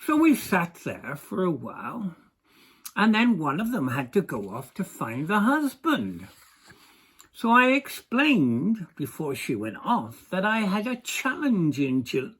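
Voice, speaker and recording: An older Englishman telling a rehearsed anecdote in a put-on Welsh accent, with deliberate, singsong phrasing. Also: high voice, fairly bright tone, breathy edge. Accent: English speaker putting on a Welsh accent. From England.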